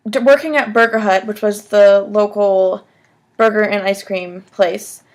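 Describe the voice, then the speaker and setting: A woman's voice: medium pitch, fairly even intonation, a little slow. A woman in her twenties answering job interview questions on the phone in a casual, conversational way, often saying "like," recorded at a desk in a small room. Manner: casual, frequent "like" as filler. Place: small room at home, indoors.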